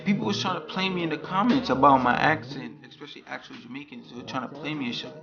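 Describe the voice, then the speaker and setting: A man's voice: a little high, quick pace, vocal fry, muffled recording, music in the background. A young man talking casually to his vlog audience in slangy street talk, repeating catchphrases. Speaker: vlogger. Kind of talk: casual vlog chat with put-on Jamaican accent.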